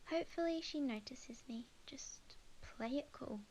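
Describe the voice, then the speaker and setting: A woman playing a young witch, sweet, flustered and chatty, in an ASMR roleplay, speaking expressively and haltingly. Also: sits high, a little hiss or hum, rough recording. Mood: nervous, hushed. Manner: halting, expressive, talks to herself. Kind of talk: ASMR roleplay audio drama monologue.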